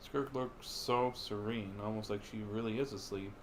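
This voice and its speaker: A man livestreaming a game, reading the dialogue aloud in a halting, dramatic way. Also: on the low side, fairly even intonation, noisy background. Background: game music.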